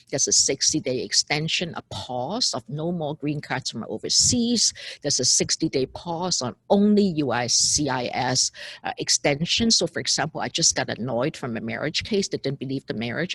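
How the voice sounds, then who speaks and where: A woman's voice: low-pitched, fairly bright tone, husky, dry recording. A woman in her seventies from Hong Kong, an immigration lawyer, earnestly giving a recorded talk that works through a list of points and questions. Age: 70s.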